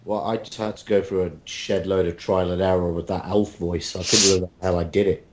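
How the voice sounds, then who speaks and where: A man chatting casually as a voice actor guest, with halting, hesitant phrasing. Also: low-pitched, level tone, slight background noise. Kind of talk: casual chat.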